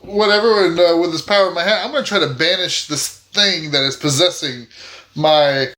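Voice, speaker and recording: High, bright-voiced male tabletop player. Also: brisk. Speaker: player in a tabletop roleplaying game. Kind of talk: casual, playful in-character action description.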